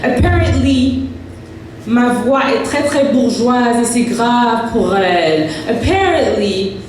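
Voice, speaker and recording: A woman from Haiti, whose first language was French, recites spoken word poetry in a slow, emphatic, rhythmic way. She is heard from a distance in a large, very echoey hall, with faint noise in the background. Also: animated. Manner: rhythmic, emphatic recitation, higher and more forceful when reciting. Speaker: poet. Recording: echoey hall recording from far off.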